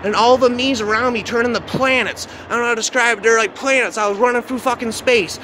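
A man telling a dark first-person story off the cuff in an agitated, repetitive, swearing, slangy way. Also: very high-pitched, fairly even intonation, fast. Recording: noisy outdoor recording with traffic ambience.

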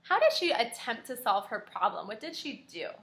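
A young woman teaching kindergarten, reading aloud and prompting children with animated, encouraging questions. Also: on the high side, moderate pace. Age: young adult. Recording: echoey indoor room.

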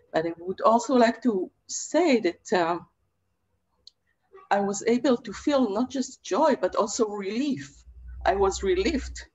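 A middle-aged woman from Israel talks slowly and reflectively, pausing often and searching for her words. Her voice sits a little low. The sound is dull and lo-fi, like a webcam on a video call. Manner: measured, thoughtful, many pauses and fillers. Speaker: panelist poet. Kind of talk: reflective panel talk. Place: home study with bookshelves.

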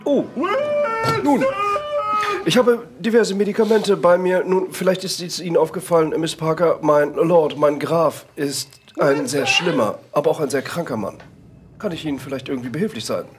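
A man's voice: on the high side, normal speed, background noise, close to an everyday voice. A man voicing a dutiful, obsequious manor butler with a formal, deferential and hesitant way of talking.

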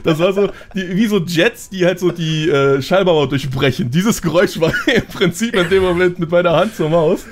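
A man's voice: sits high, normal speed, few pauses, a little hiss or hum. A young man as a podcast guest, telling anecdotes in a casual, slangy way. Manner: slangy, chatty.

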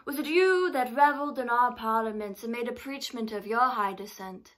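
A woman's voice: a little high, moderate pace, a bit bright, close to an everyday voice. A woman performing a vengeful, mocking queen in a Shakespearean monologue, theatrical and declamatory. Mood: scornful, taunting. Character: vengeful, mocking English warrior queen taunting a captive.

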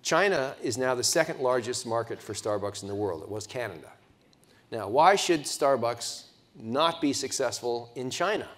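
A man's voice: medium pitch, lively intonation, normal speed, fairly bright tone. An older man, in his sixties, delivers a conference keynote from a podium in a large hotel ballroom, miked with a lapel microphone. He keeps the audience involved with questions and often restarts his sentences mid-thought. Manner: engaging, asks the audience questions, frequent restarts. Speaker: keynote speaker. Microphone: lapel mic at a podium.

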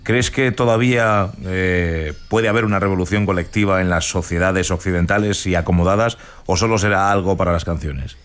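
Un hombre, presentador de radio, que entrevista con preguntas pausadas y algunas vacilaciones. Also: male voice, sits low. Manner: measured, probing questions with restarts. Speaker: radio host. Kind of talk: radio interview.

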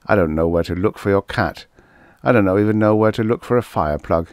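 A man voicing a gruff, suspicious beat policeman who booms and grows exasperated. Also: fairly quick, no echo, studio quality, natural voice. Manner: booming, exasperated.

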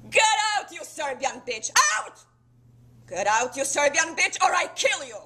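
A woman's voice: sits high, big pitch swings, measured pace. A woman voicing a hostile, suspicious female government clerk, curt and accusing, in a stage monologue. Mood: furious, contemptuous. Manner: curt, accusing, shouting orders. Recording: noisy theatre camera recording with hum.

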